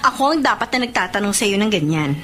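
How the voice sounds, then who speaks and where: A woman acting in a radio drama as a spoiled, suspicious young wife with a sharp tongue and a haughty, confrontational manner. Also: medium pitch, expressive, quick pace, slight background noise. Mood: accusing, bitter.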